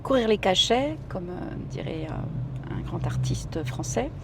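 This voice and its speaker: A woman's voice: medium pitch, big pitch swings, a little slow. Talkative woman chatting in a noisy car. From Italy. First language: Italian. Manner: chatty and talkative, many fillers. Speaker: guest singer. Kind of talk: casual interview chat.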